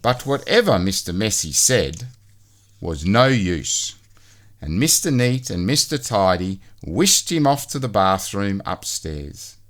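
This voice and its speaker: A man reading a children's storybook aloud as narrator, in an expressive and deliberate way. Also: on the low side.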